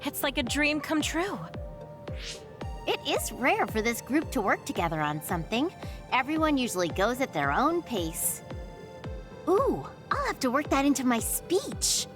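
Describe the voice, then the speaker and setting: Sweet, eager young woman, anime game dub, high-pitched, earnest and polite, music behind. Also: sing-song, a little slow. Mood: delighted.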